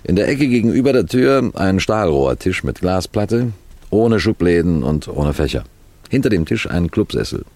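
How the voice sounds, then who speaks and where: A man playing a composed, clever police detective, recounting a case in measured, precise radio mystery narration. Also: low voice, sing-song, a little mellow. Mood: explaining, precise. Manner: measured, precise, deliberate storytelling.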